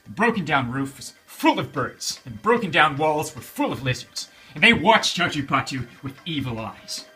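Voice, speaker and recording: A man's voice: fairly high pitch, sing-song, normal speed, sharp tone, faint music behind, low-quality recording. A man telling a children's bedtime story in a theatrical, emphatic way, repeating phrases for suspense.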